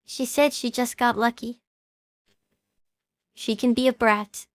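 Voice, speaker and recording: A woman in an audio drama playing a worried, loyal young streamer, speaking earnestly and haltingly. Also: a little high, smooth, dry recording, natural voice. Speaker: voice actor in fan audio drama.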